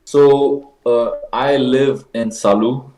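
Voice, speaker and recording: A man's voice: medium pitch, slow pace, rough recording. A man in his twenties from Belgium, a football player guest, talking casually with many fillers. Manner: relaxed, lots of fillers like "like" and "uh". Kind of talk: casual interview.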